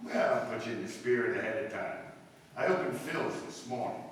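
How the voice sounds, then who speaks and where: A man's voice: medium pitch, a little fast, natural voice. Chatty detective sidekick played by a man, very echoey. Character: chatty, homespun married male police detective sidekick. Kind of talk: 1950s radio police drama acting. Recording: distant, echoey hall with long reverb, hiss.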